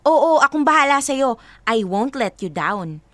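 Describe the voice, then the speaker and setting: A woman's voice: high-pitched, sing-song, unhurried, fairly bright tone, no room sound, exaggerated voice. An actress playing a supportive, modest young woman in a radio drama, speaking earnestly. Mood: encouraging. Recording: faint music behind.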